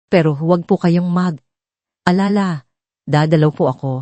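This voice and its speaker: A gentle young housemaid from an audio storybook drama, voiced by a woman narrator, polite and earnest. The voice is mellow and fairly low, with firm principles behind it. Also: measured pace, dry recording, natural voice. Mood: gentle, reassuring.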